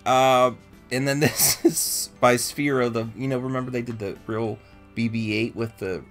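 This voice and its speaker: A man's voice: mid-range voice, fairly even intonation, normal speed. Man, toy show co-host, mid-range voice, informal chat, faint music behind. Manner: informal, conversational. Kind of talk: casual toy review chat.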